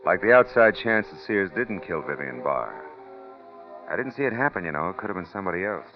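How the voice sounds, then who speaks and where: Deep-voiced hard-boiled radio private eye. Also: male voice, very animated, fairly quick. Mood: cool, probing. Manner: wry, clipped, wisecracking. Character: hard-boiled sardonic male private detective, cynical and unflappable. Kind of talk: 1940s radio drama acting, with noir first-person narration. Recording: dull vintage radio recording with loud music.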